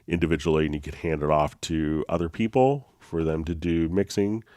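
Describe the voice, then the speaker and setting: A deep-voiced man leads a live software tutorial, nasal and congested from a slight cold. He explains things in a loose, easygoing way, often pausing on fillers. His voice sounds dry and close, with no room around it. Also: no room sound. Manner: easygoing, with many fillers and restarts. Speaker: host.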